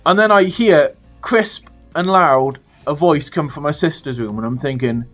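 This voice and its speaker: A man's voice: on the high side, normal speed, faint music behind. Chatty British man telling a story. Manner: animated, chatty, swears freely. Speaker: storytelling vlogger. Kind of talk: casual storytime monologue.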